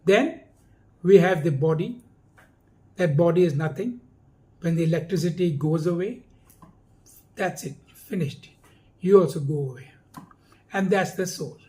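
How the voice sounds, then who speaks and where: A man with a notably high-pitched voice gives an explanatory talk. He speaks slowly and deliberately, stressing key words as he presents ideas in simple terms for viewers. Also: mellow.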